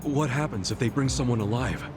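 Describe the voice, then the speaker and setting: A man's voice: mid-range voice, fairly quick, over loud music, close to an everyday voice. A man playing an earnest, hot-headed middle-aged yakuza hero with blunt, informal speech in a video game cutscene. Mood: wary, curious.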